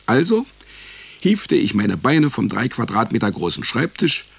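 An actor playing a wry, broke private detective in a radio play, with a deadpan, sardonic manner. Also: male voice, medium pitch, a little slow, creaky, breathy edge, no echo, heavily acted. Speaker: narrator and lead actor. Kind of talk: first-person detective radio play narration and dialogue. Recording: music behind the voice. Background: music.

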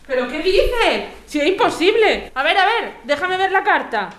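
A woman's voice: very high-pitched, very animated, normal speed, a bit bright, heavily acted. A woman playing a snobbish, defensive neighbour in an amateur radio soap, with an accent from Spain. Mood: shocked disbelief. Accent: Spanish, from Spain. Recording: echoey room with faint noise.

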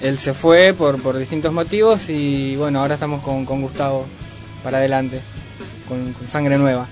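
A man, a band's bassist, talking haltingly as a radio guest with many fillers. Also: fairly even intonation, faint music behind. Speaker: band bassist and singer, guest. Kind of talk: casual radio interview. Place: radio studio.

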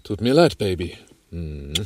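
A man's voice: sits low, sing-song, measured pace, a little mellow, a little hiss or hum. An actor playing a cool, wry and self-reliant male arms smuggler in a radio crime drama. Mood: cool, resolute.

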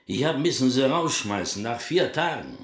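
Distrustful middle-aged shopkeeper, Yiddish accent, terse, lively melody, echoing room, radio drama. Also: male voice, medium pitch, normal speed. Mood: indignant, dismissive. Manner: terse, inverted syntax, answering questions with questions.

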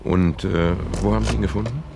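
An actor playing a world-weary, wry private detective with a gravelly voice, in a seventies radio crime drama. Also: male voice, fairly low pitch, brisk, background noise. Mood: wary, probing. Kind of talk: 1970s German radio crime drama, first-person narration and dialogue.

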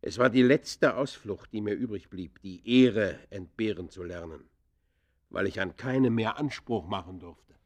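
An actor playing an embittered outlaw poacher, confessing in the theatrical, literary manner of a classic German radio play. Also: male voice, lowish voice, measured pace. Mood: bitter, disdainful.

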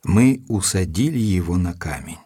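An actor playing a gentlemanly detective's companion who recounts the case in a measured, literary audio-drama narration. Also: male voice, sits low, expressive, husky. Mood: tense storytelling. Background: music.

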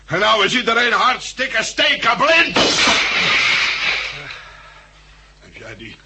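An actor playing a drunken, despairing blind pub landlord who rambles in coarse, slurred, bitter speech in a radio drama. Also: male voice, extremely high pitch, wildly swooping pitch, normal speed, full character voice. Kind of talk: 1960s radio drama acting. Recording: old 1960s radio recording, faint hiss.

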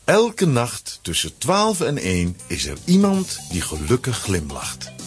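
A man with a husky voice narrating a children's audio play as a dramatic scripted story. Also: mid-range voice, huge pitch swings, a little slow, soft background music.

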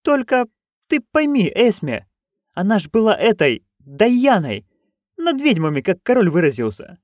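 A storyteller voicing a chatty, coarse, bawdy old witch woman who rambles loudly. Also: medium pitch, very animated, a little slow, dry recording, clean studio sound, voice pushed up. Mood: gossipy.

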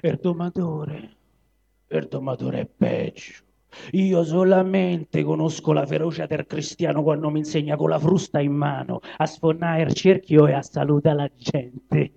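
A man's voice: on the high side, steady tone, unhurried, creaky, warm tone, heavily acted. A man voicing a proud, bitter caged lion in dramatic dialect verse, grumbling and declaiming with a Roman accent. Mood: aggrieved. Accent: Roman Italian dialect. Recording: dull lo-fi live recording.

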